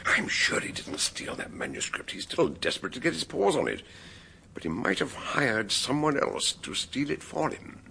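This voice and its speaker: Radio drama detective gent, very animated low voice, wry and probing, bit of background noise. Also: male voice, creaky, exaggerated voice. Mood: emphatic. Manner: deliberate, hesitant, wry, probing. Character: shrewd, mannered gentleman sleuth questioning suspects.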